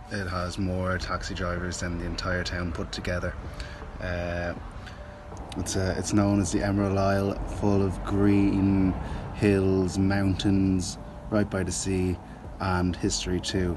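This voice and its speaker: A man from Ireland, talking in a loose, halting way with fillers. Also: deep voice, background noise.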